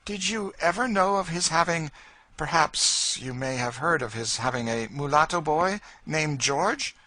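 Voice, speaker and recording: A man's voice: a little high, lively intonation, measured pace, bright, forceful tone, dry recording, voice pushed up. A man reading an audiobook, voicing a proud, grieving freed woman who asks eager, emotional questions. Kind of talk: audiobook character voice.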